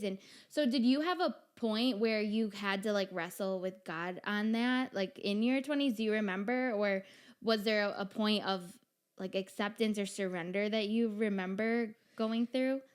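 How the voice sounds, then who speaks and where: A young woman hosting a podcast interview, affirming her guest and asking gentle questions. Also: medium pitch, moderate pace, clean tone. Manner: warm, affirming listener, asks gentle questions. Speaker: podcast host. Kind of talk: conversational interview.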